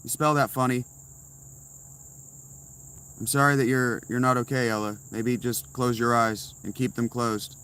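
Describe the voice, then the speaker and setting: A man's voice: medium pitch, level tone, a little slow, long pauses, a bit bright, clear, resonant tone. A man streaming live from inside a tent, answering chat messages with blunt, sarcastic replies. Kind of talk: casual livestream chat.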